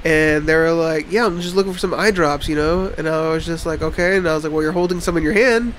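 A man's voice: on the high side, quick pace, a bit bright, slight background noise. A man, congested, telling a laid-back story on a casual podcast. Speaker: podcast co-host. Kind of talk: casual chat.

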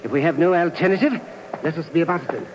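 A man's voice: fairly high pitch, expressive, fast talker, natural voice. Fretful French-accented radio scientist played by a man, plaintive and stiffly formal, very noisy vintage sound. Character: fussy, complaining, fretful French male scientist. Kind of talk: 1950s radio drama acting. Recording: muffled, very noisy old radio recording.

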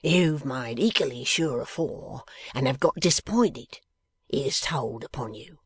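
A man's voice: mid-range voice, lively intonation, unhurried, no room sound, studio quality, full character voice. A man voicing a coarse, sly lock-keeper from a novel, growling with an insolent manner. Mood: sly, deliberate. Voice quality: growling, gruff. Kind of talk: dramatised novel reading.

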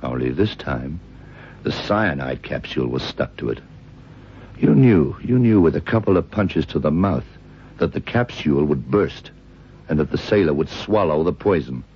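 A man acting a tough, shrewd detective in a radio crime drama, deliberate and hard-boiled, with a deep husky voice. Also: animated, a little hiss or hum. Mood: stern, pressing. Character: tough, shrewd male detective exposing a killer. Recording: dull, lo-fi old radio recording.

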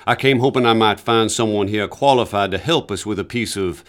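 A man plays a radio-drama police inspector with a low, weighty voice. He is reserved and very polite, giving his words in short, formal phrases. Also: brisk, fairly bright tone, close to an everyday voice. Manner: formal, clipped, laconic. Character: courteous, reserved New Orleans police inspector. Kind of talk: period radio drama acting.

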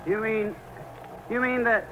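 An actor playing a timid, nervous, stammering groom in a 1940s radio comedy. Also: male voice, high-pitched, little pitch movement, measured pace, fairly bright tone. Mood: astonished, delighted. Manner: stammering, hesitant, sheepish chuckles. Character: timid, nervous, bashful grown man Homer, the groom. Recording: noisy, hissy 1940s radio recording.

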